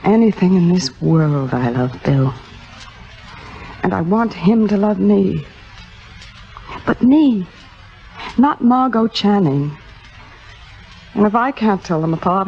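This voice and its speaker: Worldly, low-voiced aging stage diva. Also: female voice. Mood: vulnerable, confessing. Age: middle-aged. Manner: halting, theatrical, self-mocking. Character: Margot, temperamental aging Broadway actress, worldly, insecure, proud. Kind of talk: 1950s radio drama acting. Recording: muffled old radio recording.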